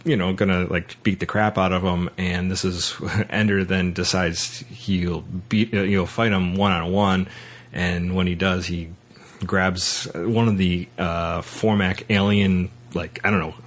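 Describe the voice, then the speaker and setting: A man with a low-pitched voice speaks as a podcast host. His delivery is casual and conversational, rambling a little and halting as he pieces his thoughts together. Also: little pitch movement. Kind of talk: casual film discussion.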